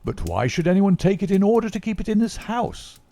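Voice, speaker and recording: A man voicing an excitable young male government secretary who talks in an agitated, exclamatory way. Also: high voice, a little fast, vocal fry, pitched above the performer's own voice, full character voice. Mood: indignant.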